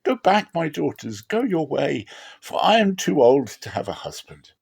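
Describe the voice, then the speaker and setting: An aged, bereaved mother-in-law played by a man in a dramatic scripture reading. The voice is lifted high and moves widely in pitch. The delivery is plaintive and emphatic, full of grief and bitterness. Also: very animated, moderate pace, vocal fry, a little mellow, husky, full character voice. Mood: pleading, sorrowful. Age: older. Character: grieving, bitter old widow Naomi, pleading with her daughters-in-law.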